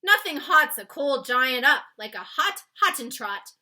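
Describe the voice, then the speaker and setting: A woman's voice: high voice, big pitch swings, a little slow, bright, forceful tone, pitched above the performer's own voice, heavily acted. A woman voicing a big, friendly male giant from a children's storybook, with muddled grammar and nonsense words in an exclamatory manner. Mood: explaining with relish. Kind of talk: read-aloud children's storybook character.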